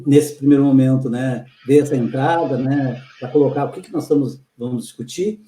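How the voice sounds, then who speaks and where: A man's voice: medium pitch, a little fast, dark tone, a little hiss or hum. Emphatic man in an echoey room. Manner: critical, emphatic, listing points. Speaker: host. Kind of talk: political discussion on a live video chat.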